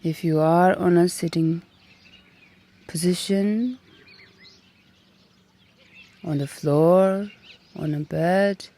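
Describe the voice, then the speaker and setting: A woman guiding a meditation in a calm, gentle manner, leaving long pauses between short phrases. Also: on the low side. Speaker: meditation and yoga teacher. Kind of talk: guided meditation instruction. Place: outdoors in nature. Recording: outdoor recording with birdsong behind. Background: birdsong and natural ambience.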